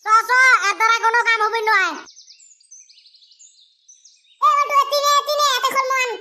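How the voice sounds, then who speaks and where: Squeaky, fast-talking cartoon village fellow. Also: male voice, pushed and bright, heavily acted. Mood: impatient, bossy. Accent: rural northern Bengali dialect. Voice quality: squeaky, pitch-shifted. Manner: exclaiming, bickering, repeating phrases. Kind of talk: comedy cartoon dub.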